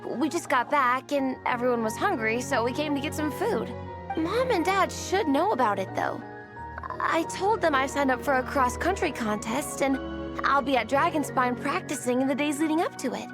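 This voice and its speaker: High-voiced, earnest young girl. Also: expressive, normal speed. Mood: defensive. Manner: hesitant, defensive. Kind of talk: video game voice acting. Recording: background music under the dialogue.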